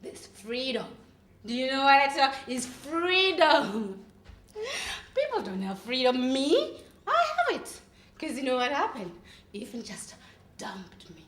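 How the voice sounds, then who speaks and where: A woman's voice: sits high, sing-song, fairly bright tone, pitched below the performer's own voice, exaggerated voice. A woman playing a volatile, heartbroken young woman in a melodramatic acting monologue. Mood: tipsy, defiant. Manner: melodramatic, tearful outbursts and drawn-out pleading. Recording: echoey room.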